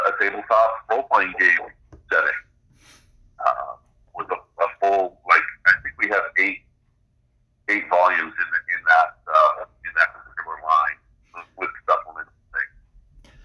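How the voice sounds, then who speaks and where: Deep, very bright-voiced middle-aged man. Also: fairly even intonation, unhurried, poor audio. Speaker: interview guest, game designer and author. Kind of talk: casual interview, explaining own work.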